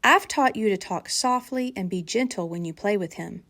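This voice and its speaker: A woman voicing a gentle, reasoning female primary school teacher who explains things patiently in a children's storybook. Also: mid-range voice, normal speed. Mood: reassuring, explaining.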